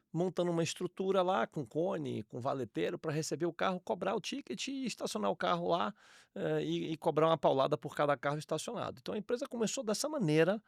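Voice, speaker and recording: Um homem baiano de uns quarenta anos, com sotaque de Salvador, contando sua trajetória num podcast, de jeito direto e irônico. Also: male voice, on the high side, vocal fry, dry recording, clean studio sound. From Salvador, Bahia, Brazil. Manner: blunt, ironic, storytelling with emphatic slang. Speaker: podcast guest, entrepreneur. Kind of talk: casual podcast interview.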